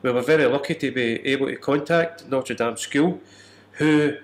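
A man's voice: medium pitch, normal speed. A man in his fifties giving an interview account as a care worker. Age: 50s. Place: small office, indoors. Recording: echoey room.

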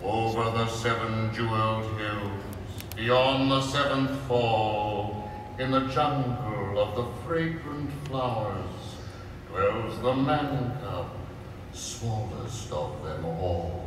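A man's voice: on the low side, slow pace. A man playing a solemn spirit in a magic mirror from a cartoon fairy tale, grave and incantatory. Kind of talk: live storybook cartoon performance. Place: large convention hall stage. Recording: very echoey hall from far off, noisy.

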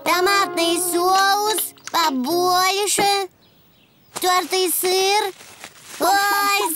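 A tiny, very high-voiced donkey boy from a preschool cartoon. He is cheerful and eager, speaking very slowly in short, simple exclamations as he plays. Loud music runs behind him. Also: full character voice. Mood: excited. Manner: exclaiming, narrating own play in short simple phrases.

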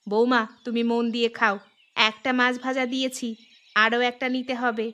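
A woman's voice: fairly high pitch, flat delivery, normal speed, fairly bright tone, natural voice. A woman voicing an older, caring but bossy mother-in-law in a village cartoon, coaxing and ordering others to eat. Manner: coaxing, commanding. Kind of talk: cartoon voice acting.

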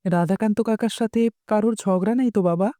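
A man's voice: high voice, a little fast, mellow, dry recording, clean studio sound, natural voice. A man voicing an earnest, loyal, worried village boy in a cartoon, with a pleading way of talking. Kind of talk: dubbed cartoon dialogue.

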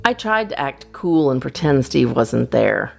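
A woman's voice: extremely low pitch, sing-song, a little slow, vocal fry, darkish tone. A woman reading a children's storybook aloud expressively, as a storytime reader. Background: guitar music.

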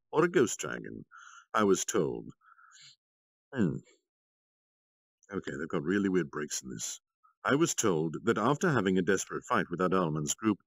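A man reading a story aloud on a livestream, with expressive narration and dramatic, measured phrasing. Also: on the low side, vocal fry, no room sound, poor audio. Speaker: storytime streamer, reader.

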